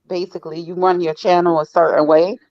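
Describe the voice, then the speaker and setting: A woman's voice: on the low side, flat delivery, a little fast, no echo. A woman guest in a casual chat, agreeable and restating her points for emphasis, on slightly dull audio. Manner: agreeable, repeats phrases for emphasis. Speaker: panel guest.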